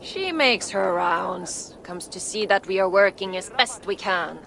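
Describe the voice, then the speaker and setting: Pragmatic, resigned dwarf metal worker. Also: female voice, mid-range voice, unhurried, creaky, fairly bright tone, poor audio. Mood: resigned, candid. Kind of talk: video game cutscene acting.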